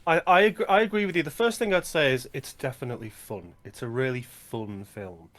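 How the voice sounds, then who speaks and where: A man's voice: medium pitch, brisk, a bit bright. A man co-hosting a film podcast, talking with enthusiasm and stammering on his restarts. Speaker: podcast co-host. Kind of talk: casual film discussion.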